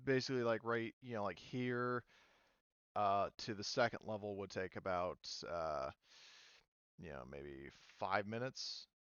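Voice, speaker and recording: A man speaking casually and haltingly as game master, narrating scenes and explaining rules of a tabletop game. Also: fairly low pitch, fairly bright tone, clear, resonant tone, no room sound, muffled recording.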